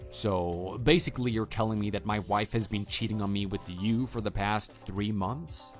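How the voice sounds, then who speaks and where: A man playing a level-headed, skeptical husband with a measured, hesitant delivery in an audio drama. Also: lowish voice, faint music behind, natural voice. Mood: skeptical, controlled. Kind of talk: scripted texting-story radio drama.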